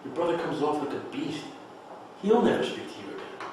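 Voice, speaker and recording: A man playing a blunt, hurt friend in a table read of a play, reproachful and deliberate. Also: fairly high pitch, expressive, pitched above the performer's own voice, natural voice. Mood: cold reproach. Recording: echoey room, far mic, clear noise.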